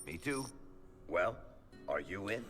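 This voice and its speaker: A man's voice: sits low, big pitch swings, takes it slowly, fairly bright tone, heavily acted. A man playing a genial, teasing rogue who runs a card game in a video game, with a wry manner. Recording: long hall reverb, faint music.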